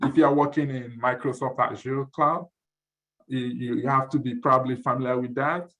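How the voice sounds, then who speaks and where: A man's voice: mid-range voice, poor audio. A man from Nigeria presenting at an online info session, explaining tools casually and often repeating words as he goes. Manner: relaxed, halting with repeated words.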